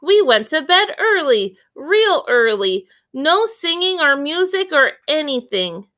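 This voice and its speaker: A woman's voice: high voice, very animated, slow pace, pushed and bright, voice pushed up, heavily acted. A woman voicing an anxious, earnest ten-year-old farm boy from a storybook, full of pleading questions. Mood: urgent, worried.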